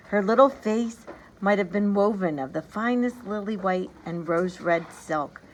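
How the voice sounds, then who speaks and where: A woman's voice: medium pitch, fairly bright tone. Woman reading a children's story, noisy background. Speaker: storybook reader. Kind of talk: animated children's read-aloud. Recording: background noise behind the voice.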